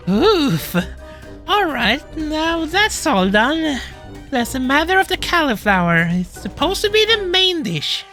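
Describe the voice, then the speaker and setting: Lady doing a nervous flustered furry dad for a visual novel, high voice, swings up and down a lot, hesitant n emotive. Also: fairly bright tone, exaggerated voice. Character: anxious, flustered furry animal dad, gentle and easily overwhelmed. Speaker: narrator. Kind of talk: dramatic first-person visual novel reading.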